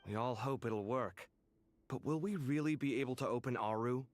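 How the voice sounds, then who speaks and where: An actor playing a proud but self-doubting young male architect in a video game, with an expressive, theatrical manner. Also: medium pitch, normal speed, no room sound, natural voice. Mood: anxious.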